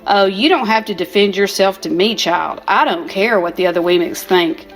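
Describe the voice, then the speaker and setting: An older woman voicing a kind old bearded woodcarver who speaks gently and reassuringly. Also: medium pitch, moderate pace, soft background music, lightly acted.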